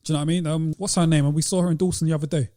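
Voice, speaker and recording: A man's voice: a little high, steady tone, very fast, warm tone. A British man co-hosting a podcast, chatting casually. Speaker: podcast host. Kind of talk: casual chat.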